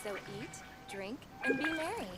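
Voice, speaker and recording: High, carefree game townswoman. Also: big pitch swings, normal speed, loud music behind the voice. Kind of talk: video game background character acting.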